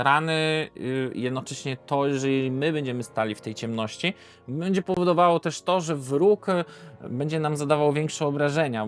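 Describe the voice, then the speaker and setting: A man presenting a board game in an informal, unscripted way, conversational, posing questions and answering them himself. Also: medium pitch. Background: background music.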